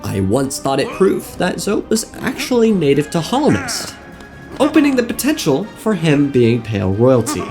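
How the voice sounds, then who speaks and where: A man's voice: fairly high pitch, huge pitch swings, moderate pace. A young man narrating a scripted comedic video essay on game lore in a playful, mock-serious manner. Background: background music.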